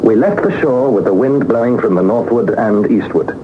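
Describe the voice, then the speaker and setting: Actor as earnest young explorer, narrating through phone-like static. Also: male voice, fairly low pitch, close to an everyday voice. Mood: solemn wonder. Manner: measured, literary, dramatic storytelling. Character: earnest young nephew narrating his expedition log. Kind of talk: 1960s radio drama narration. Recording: noisy phone-like old 1960s radio recording.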